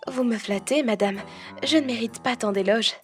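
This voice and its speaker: Woman as a prim, high-voiced head housekeeper. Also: faint music behind, natural voice. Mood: formal, dutiful. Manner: prim, formal, measured, precise. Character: strict, formal, dutiful head housekeeper of a manor. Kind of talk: French audio roleplay acting.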